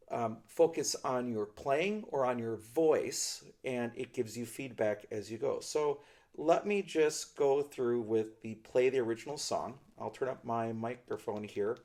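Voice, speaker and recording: A man's voice: mid-range voice, darkish tone. Middle-aged man explaining ukulele gear. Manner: thoughtful, hedging, explanatory. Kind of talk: product review demonstration. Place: small indoor studio with a plain backdrop.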